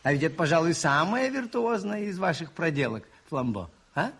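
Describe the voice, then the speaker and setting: Shrewd, husky, animated priest detective. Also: male voice, a little high, moderate pace, full character voice. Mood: musing, teasing. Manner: musing, ironic, expressive and conversational. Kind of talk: Soviet radio play acting.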